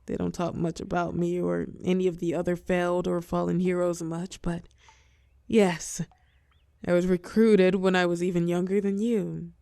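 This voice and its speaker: A fallen heroine turned telepath villain, acted by a woman, speaks close and dry in a lowish, crackling voice. She is weary and wry, tender with an edge of menace, pausing for effect as in an intimate audio drama. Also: flat delivery, gravelly, mellow, dry recording. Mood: reluctant, resigned. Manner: soothing pet names, wry bitterness, dramatic pauses, drops into whispers. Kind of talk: intimate scripted audio roleplay monologue.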